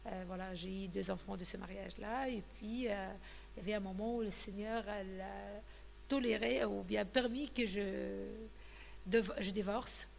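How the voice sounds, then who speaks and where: A woman's voice: mid-range voice, steady tone, normal speed, vocal fry, in a large hall, slight background noise. A woman in her thirties from Tunisia, telling her personal testimony in an interview, hesitant and full of fillers and restarts. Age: 30s. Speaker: guest giving a testimony.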